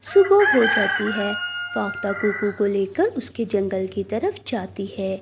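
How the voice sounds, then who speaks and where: A woman's voice: mid-range voice, sing-song, slow, gravelly, noisy background. A woman storyteller reading scripted narration for a children's cartoon.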